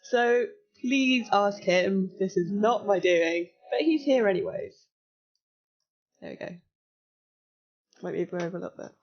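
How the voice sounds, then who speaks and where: A young woman in her twenties, slightly croaky, chatting casually and giggling as she walks viewers through a hacking exercise on a live stream. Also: medium pitch, measured pace, pauses often, dull audio. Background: faint music.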